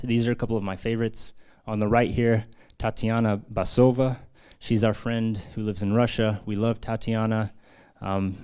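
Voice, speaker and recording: A man's voice: on the low side, warm tone, clear, resonant tone. An American man giving a casual, earnest talk at a book launch in a press room, with frequent fillers. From United States. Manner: earnest, conversational with many fillers. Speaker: author presenting his book. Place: press centre conference room.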